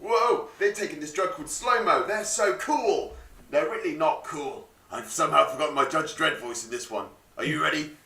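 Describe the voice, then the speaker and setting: A man with a gravelly, bright voice races through an action scene in an improv game, frantic and jokey. He sounds far off in an echoey room. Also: a little high, normal speed.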